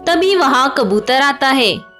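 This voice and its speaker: A woman's voice: high-pitched, animated, slow, music in the background. A woman reading scripted narration for a children's cartoon moral story.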